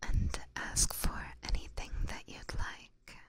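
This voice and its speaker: A woman's voice: takes it slowly, fairly bright tone. A woman whispering breathily and informally to viewers on an ASMR livestream, close to a binaural microphone. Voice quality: breathy, mostly unvoiced whisper. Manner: informal, chatty, addressing viewers directly. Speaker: ASMR live streamer.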